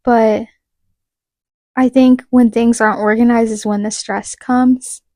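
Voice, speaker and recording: A woman's voice: on the high side, level tone, unhurried, dark tone, no echo, studio quality. A young woman co-hosting a podcast in casual chat, chatty and steering the talk toward practical tips. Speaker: podcast co-host.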